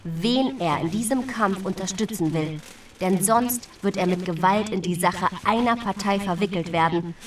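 A resolute royal woman played by an actress reads her patriotic letters in a fairly low voice. She sounds earnest and formal in a radio play, slightly distant from the microphone. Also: natural voice.

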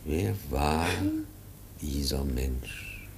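An actor playing a solemn, fatherly professor in a German radio drama, gravelly and measured, with a pious manner. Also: rumbling low, a little mellow, a little hiss or hum, exaggerated voice. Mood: grave, mournful. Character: grave, fatherly professor comforting a dying man. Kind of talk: German radio play acting.

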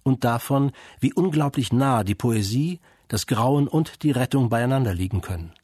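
A man narrating a radio feature in a measured, composed, scripted way. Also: mid-range voice, animated, darkish tone, breathy edge, no echo, clean studio sound.

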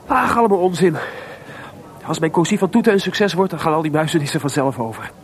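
An actor as restless, higher-voiced Mozart. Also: male voice, fairly quick, close to an everyday voice. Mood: brushing it off. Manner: hesitant, searching for words, theatrical. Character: Mozart, restless, melancholy composer, proud but adrift. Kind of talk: Dutch radio play acting.

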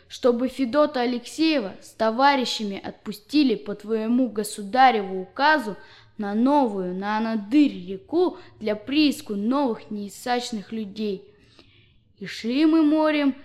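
An eager, curious young boy in an audio drama. The voice is fairly high and childlike in delivery. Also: a little mellow. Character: eager curious young grandson reading aloud.

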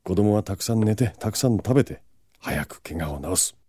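A man acting a proud, gruff yet protective vampire lord in an audio drama, brusque and self-assured. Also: fairly low pitch, lively intonation, a little fast, warm tone, no echo, clean studio sound. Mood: gruff, indulgent.

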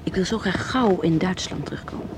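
A woman's voice: on the low side, brisk, background noise, natural voice. An actress playing an affectionate, wistful young woman in a conversational radio drama. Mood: wistful, eager.

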